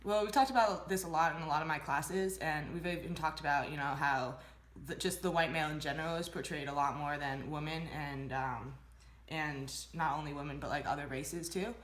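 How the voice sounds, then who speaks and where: A woman's voice: low-pitched, brisk. A young woman from Boston, a film student, chatting casually in an interview and saying "like" often. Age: young adult. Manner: chatty, leans on "like" a lot. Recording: roomy echo.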